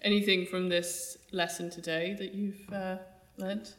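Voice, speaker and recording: A woman's voice: mid-range voice, level tone, measured pace, fairly bright tone. A woman hosting a podcast, chatty and enthusiastic, interviewing a guest. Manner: chatty, enthusiastic, laughs often. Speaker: podcast host. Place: yarn festival venue. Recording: crowd chatter behind.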